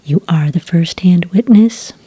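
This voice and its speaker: A woman playing a calm, polite agent who takes sighting reports in a soft-spoken ASMR roleplay, breathy and whispery, with gentle, patient questioning. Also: lowish voice, unhurried. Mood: politely inquiring.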